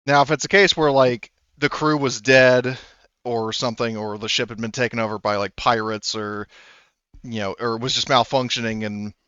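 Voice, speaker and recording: A man's voice: lowish voice, a bit bright, clear, resonant tone, no room sound, dull audio. A man running a tabletop game as game master, explaining rules in a rambling way full of fillers.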